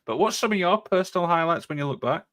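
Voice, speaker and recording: A man's voice: on the high side, expressive, fast, fairly bright tone. A man hosting a wrestling interview, talking as an eager fan and leaning on "obviously" a lot. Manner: enthusiastic fan, leans on "obviously". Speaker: podcast host, interviewer. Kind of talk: casual podcast interview.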